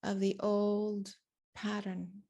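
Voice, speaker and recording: Very slow-paced woman leading guided meditation. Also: medium pitch, flat delivery, gravelly, dark tone. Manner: deliberate phrases with long pauses.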